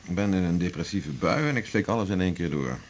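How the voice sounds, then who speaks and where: A man's voice: low-pitched, lively intonation, fast, slight background noise. An actor playing a male examining doctor, dry and businesslike in a radio drama.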